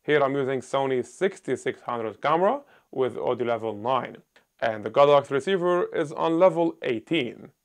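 A man in his thirties giving a scripted gear review on a small indoor set, talking loudly and emphatically into a lapel mic. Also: medium pitch, expressive, moderate pace. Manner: loud, emphatic delivery.

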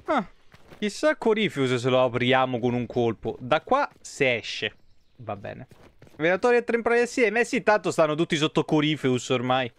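A man's voice: mid-range voice, very animated, moderate pace, fairly bright tone. A man in his thirties from Italy giving casual, foul-mouthed gaming commentary and chatting to viewers. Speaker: live streamer playing a video game. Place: indoor home streaming setup. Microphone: close streaming microphone.

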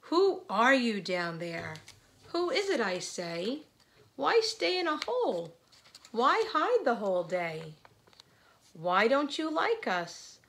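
A woman's voice: a little high, big pitch swings, takes it slowly, fairly bright tone, a little hiss or hum, voice pushed up, full character voice. A woman voicing a friendly, curious bear in a children's picture book, emphatic and singsong as it calls out. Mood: curious, insistent. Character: friendly, curious bear calling out to a hidden stranger. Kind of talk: picture-book read-aloud for young children.

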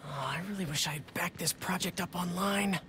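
A man's voice: high-pitched, steady tone, brisk, very noisy, lightly acted. A man voicing a nervous, earnest teenage schoolboy in a video game, hesitant and muttering to himself. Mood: anxious, hushed. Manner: hesitant, anxious.

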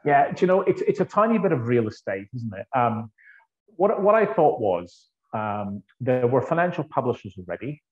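A Scottish man in his fifties from the northeast of Scotland, chatting as a guest over a video call with an earphone mic and telling his story in a relaxed, anecdotal way. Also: medium pitch, moderate pace. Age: 50s. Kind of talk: conversational interview. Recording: dull lo-fi video call audio.

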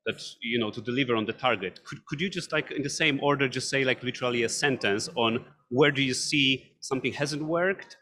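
A man's voice: mid-range voice, a little fast, few pauses, bright, forceful tone. A young man moderating a conference panel, phrasing his questions hesitantly, standing at a stand microphone on an indoor stage.

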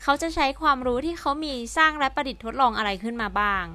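A woman's voice: high-pitched, animated, quick pace, pushed and bright. A woman hosting and narrating a scripted educational piece, explaining politely to her viewers. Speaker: host and narrator.

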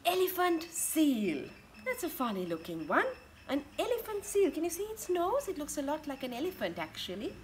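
A woman in her thirties doing an interactive picture-book read-aloud for young children, expressive and full of questions to her listeners. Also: high voice, moderate pace. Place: outdoors in a home garden. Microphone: camera a couple of metres away. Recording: outdoor ambient garden noise.

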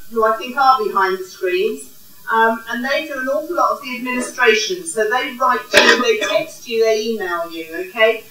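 A middle-aged woman gives a welcome speech into a podium mic, her voice slightly high. She speaks in an orderly way, often checking in with her listeners. The sound comes from a distance in a strongly echoing hall. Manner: warm, orderly, checks in with the audience. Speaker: college quality coordinator opening a conference. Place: large indoor hall. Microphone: podium microphone.

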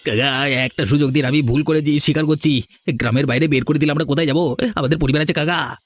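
A man's voice: on the high side, steady tone, quick pace, no room sound, faint music behind, close to an everyday voice. A man voicing a cowed village schemer in a cartoon fairy tale, pleading in a servile, stammering way. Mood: begging.

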